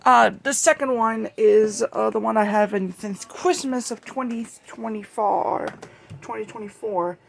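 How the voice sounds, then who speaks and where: Someone casually showing off a collection in a home-made vlog, talking in a halting, rambling way. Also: mid-range voice, creaky, a little hiss or hum. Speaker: video creator showing a radio collection. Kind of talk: casual show-and-tell vlog.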